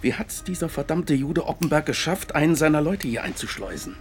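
An ambitious film producer in a radio drama, brisk and calculating. He talks quickly and plainly, with faint music under his lines. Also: on the high side, very animated. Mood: scheming.

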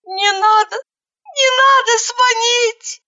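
Woman acting a high, trembling, pleading injured girl. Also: slow pace, sharp tone, dull audio, heavily acted. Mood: pained.

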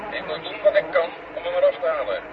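A man's voice: high-pitched, level tone, fast talker, a loud crowd. A male actor playing a stubborn, defiant astronaut in a Dutch radio drama, sarcastic and emphatic over a radio link. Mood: determined. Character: defiant, stubborn male astronaut refusing his general's orders. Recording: muffled old radio recording, loud noise.

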